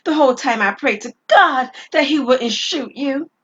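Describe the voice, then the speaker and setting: A woman's voice: high-pitched, expressive, normal speed, a bit bright, muffled recording, slight background noise, pitched above the performer's own voice. A woman voicing a caring, earnest lady who prays and protects, in an audio drama. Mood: urgent, earnest. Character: caring praying lady, mysterious guardian angel.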